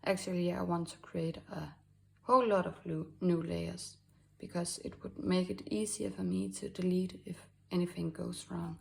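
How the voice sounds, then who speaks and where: A woman from Denmark with a Danish accent, hosting a drawing tutorial in a halting way with many fillers and soft asides. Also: fairly low pitch, gravelly. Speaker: art tutorial host. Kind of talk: screen-recorded drawing tutorial.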